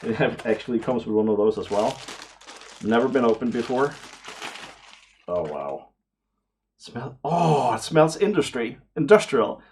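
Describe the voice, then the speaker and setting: A man giving casual unboxing commentary to camera, excitable and prone to awestruck exclamations and hesitations. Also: medium pitch, unhurried, pauses often, a little hiss or hum. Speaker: vlogger, radio amateur hobbyist.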